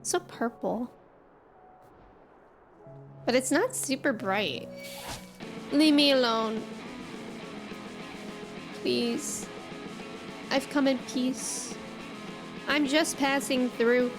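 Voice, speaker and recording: A young woman streaming a game, chatting casually and excitedly with lots of "like"s. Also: high-pitched, very slow, pauses often. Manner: chatty, excitable, says "like" a lot. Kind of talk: casual gameplay commentary. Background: game music.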